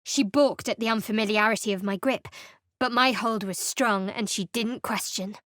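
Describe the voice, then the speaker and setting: A woman's voice: mid-range voice, fairly even intonation, normal speed, a bit bright, dry recording, close to an everyday voice. An actress playing a guarded, sardonic young woman cleric in a studio game, in a dry, cutting manner, also narrating. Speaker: game character.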